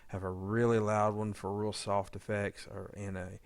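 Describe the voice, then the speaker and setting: Gravelly low-voiced man talking through edits. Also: level tone, moderate pace, no room sound. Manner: informal, thinking aloud while working. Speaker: audio drama editor demonstrating editing. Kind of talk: casual screen-recorded editing tutorial.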